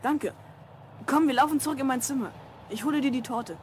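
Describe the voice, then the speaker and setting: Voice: sits high, fairly quick, a little hiss or hum, natural voice. A performer playing an eager, curious boy of twelve in an audio drama. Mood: friendly, eager. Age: child character, twelve. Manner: eager, questioning. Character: Mark, curious, helpful twelve-year-old boy. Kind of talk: German audio drama acting.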